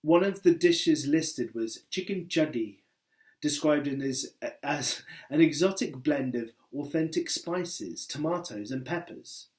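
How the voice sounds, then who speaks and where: A man's voice: a little high, lively intonation, unhurried, a little mellow. A man reading a personal essay aloud in a wry, conversational way, leaning on key words for emphasis. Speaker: book editor and essayist reading his work.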